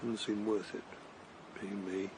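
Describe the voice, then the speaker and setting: A man's voice: lowish voice, flat delivery, measured pace, background noise, lightly acted. An actor playing a weary older man on a long walk, speaking in a hesitant, stammering, apologetic way. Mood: defeated. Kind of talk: radio drama acting.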